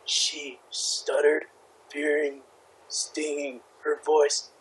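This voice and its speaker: A man reading a story aloud among friends, halting and stumbling over words between casual remarks. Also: a little high, completely flat delivery, a bit bright, rough recording. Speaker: participant in a group fanfic reading. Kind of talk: amateur read-aloud with casual banter.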